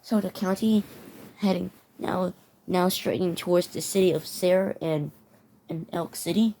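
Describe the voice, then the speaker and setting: A woman's voice: on the low side, a little slow, warm tone, poor audio. A woman reading mock severe weather warnings aloud with her own commentary, often stumbling over and repeating words. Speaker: mock emergency alert narrator.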